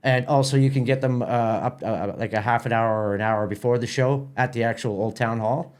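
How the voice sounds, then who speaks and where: A man's voice: medium pitch, steady tone, fairly quick. A middle-aged man with a Canadian accent, giving a casual interview as a theatre company president, often repeating words as he explains.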